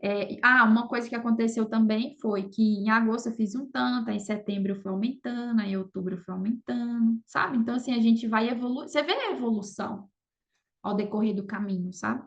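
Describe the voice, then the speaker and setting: A Brazilian woman with a fairly high voice speaks as a podcast guest in a casual, conversational way. She often tacks short confirming questions onto her sentences. The recording sounds somewhat dull and lo-fi. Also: a little fast, vocal fry. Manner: conversational, frequent "sabe" and "entendeu" tags. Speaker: podcast guest, affiliate marketer. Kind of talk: casual interview talk.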